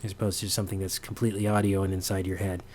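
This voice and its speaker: Low-voiced man giving a halting tutorial. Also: little pitch movement, a little mellow, slight background noise. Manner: halting, thinking aloud. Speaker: sound designer giving a tutorial. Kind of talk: informal unscripted tutorial.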